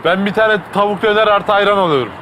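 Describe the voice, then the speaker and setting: Casting: a man doing a quick, bright, high customer ordering loudly, faint street noise behind. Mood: brisk.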